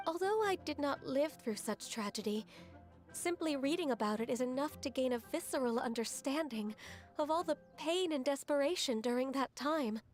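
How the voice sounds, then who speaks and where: A woman voicing a gentle, polite young female aide who speaks softly and courteously. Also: high voice, animated, moderate pace, faint music behind. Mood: calm. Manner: soft-spoken and courteous. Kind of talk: video game voice acting.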